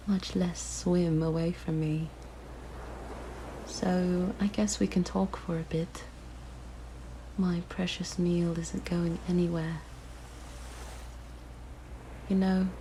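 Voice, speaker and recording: A woman voicing a lonely, curious, predatory siren in an ASMR roleplay, with a soft, breathy, mostly whispered voice and a hushed, musing, gently menacing manner. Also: lowish voice, poor audio. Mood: wistful, predatory, then softly menacing. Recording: faint background noise.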